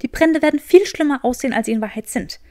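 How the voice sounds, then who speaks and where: A woman's voice: high-pitched, fast talker, darkish tone, no room sound, clean studio sound, lightly acted. A woman voicing a clever, earnest and determined young witch, explaining eagerly in an audiobook. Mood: eager, explanatory.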